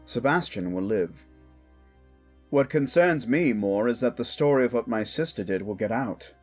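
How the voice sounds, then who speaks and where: A man's voice: mid-range voice, lively intonation, normal speed, faint music behind, close to an everyday voice. A man playing a protective exiled prince standing in as king, firm, formal and weary, in a fantasy audio drama. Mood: guarded, weary. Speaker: voice actor.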